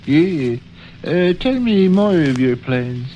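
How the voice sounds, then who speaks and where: An actor playing a dryly skeptical, indulgent father in a 1930s radio comedy, laconic and deadpan. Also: a little high, slow pace, dull audio. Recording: faint noise, old radio recording.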